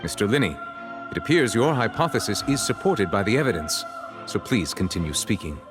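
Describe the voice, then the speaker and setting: A man's voice: lowish voice, sing-song, brisk. A man voicing a stern, authoritative judge in a video game, speaking in a formal, stately and commanding manner. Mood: measured authority. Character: stern, authoritative male judge presiding over a trial. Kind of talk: theatrical video game voice acting. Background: game music.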